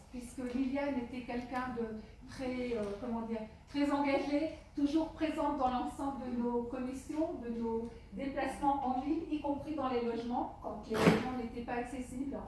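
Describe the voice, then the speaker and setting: A woman with a high voice addresses a public meeting as the official chairing it. Her delivery is deliberate and halting, with frequent pauses between phrases. The sound is very reverberant and distant from the microphone. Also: dark tone. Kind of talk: opening speech at a public meeting. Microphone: handheld portable microphone. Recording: echoey hall, distant microphone, faint noise.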